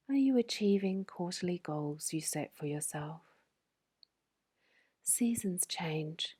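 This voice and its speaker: Woman, breathy whisper, sleep meditation guide, very slow, low and mellow delivery. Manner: slow, soothing, gentle.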